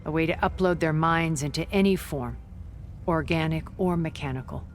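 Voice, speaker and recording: A woman playing a cool, world-weary immortal colonist in a video game, explaining in a measured, dry, condescending way. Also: sits low, natural voice. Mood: cool, confessional. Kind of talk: video game cutscene acting. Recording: background music.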